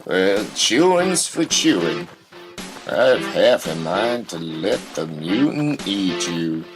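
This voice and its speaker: Callous folksy country pa, acted by a man, deadpan, unhurried and low, music behind. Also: heavily acted. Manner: unhurried, deadpan, folksy old-time phrasing. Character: gruff, indifferent rural father, callous and folksy. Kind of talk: surreal audio drama dialogue.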